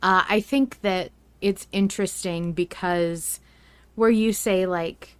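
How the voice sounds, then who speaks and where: Smooth-voiced woman hosting a fan podcast. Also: mid-range voice, fairly even intonation, dry recording. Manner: chatty, reflective, quick to laugh. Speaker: podcast host. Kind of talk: casual fan podcast chat.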